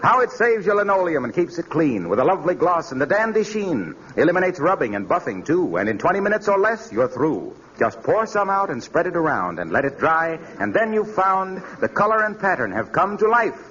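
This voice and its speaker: An actor playing a cheerful, chummy salesman with a jovial pitchman's delivery in a 1940s radio comedy. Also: big pitch swings, fairly quick, hardly any pauses, fairly bright tone, slightly rough, heavily acted. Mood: hearty salesmanship. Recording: muffled, hissy 1940s radio recording.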